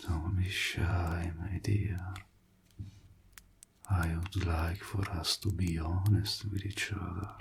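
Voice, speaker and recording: An evil warlock played by a man speaks in a low, gravelly voice thick with breath. He draws his words out very slowly and theatrically, mocking and taunting his client, often sinking toward a whisper, in the manner of a scripted audio roleplay. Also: little pitch movement, noisy background, heavily acted.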